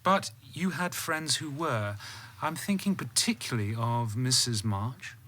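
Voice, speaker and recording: A security officer in a radio drama interrogation. The voice has a hard, bright edge. He is formal and scrupulously polite, yet insinuating, and he keeps probing. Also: mid-range voice, expressive, a little slow. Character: courteous, insinuating male security officer, coolly persistent.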